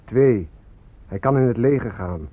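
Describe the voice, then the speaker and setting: A man's voice: medium pitch, animated, measured pace, no room sound, natural voice. Man acting a bitter activist, muffled recording. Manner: sardonic, accusing. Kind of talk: Dutch radio play acting. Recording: dull, lo-fi radio recording.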